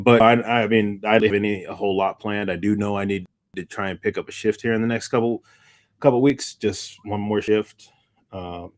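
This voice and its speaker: Man, fairly low pitch, casual podcast talk, halting, rambling, repeated phrases. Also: a little hiss or hum. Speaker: podcast co-host. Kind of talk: casual unscripted podcast chat.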